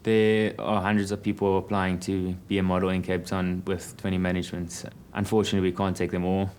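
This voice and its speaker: A man with a low voice speaks fast in a flat, blunt monotone in a documentary interview. Faint background noise is heard. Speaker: model agency staff.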